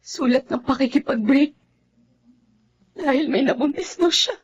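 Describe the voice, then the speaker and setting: An actress playing a bitter, emotional young jilted bride in a Tagalog radio drama, with an accusing manner. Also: female voice, sits high, unhurried, muffled recording. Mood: hurt, bitter. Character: bitter, tearful young woman jilted before her wedding.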